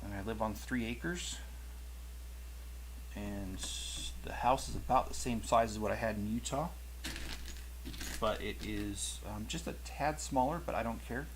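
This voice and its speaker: A man's voice: fairly low pitch, a little slow, pauses often, a little hiss or hum, rough recording. Rambling middle-aged man chatting on stream. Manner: rambling, self-correcting, chatty with viewers. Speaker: toy channel host. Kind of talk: casual live-stream chat while building. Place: home, rural.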